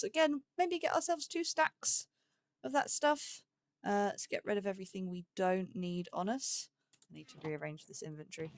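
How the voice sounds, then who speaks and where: A woman giving casual gameplay commentary, chatting and thinking aloud to herself as she goes. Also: medium pitch. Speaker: host of a gaming let's play series.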